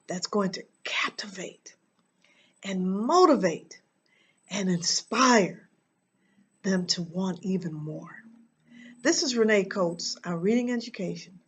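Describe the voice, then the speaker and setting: An American woman with a fairly high voice presents a lesson as a teacher. She speaks slowly and deliberately, leaning on key words and leaving dramatic pauses. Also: fairly bright tone, husky. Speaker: reading teacher and channel host. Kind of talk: instructional talk to camera.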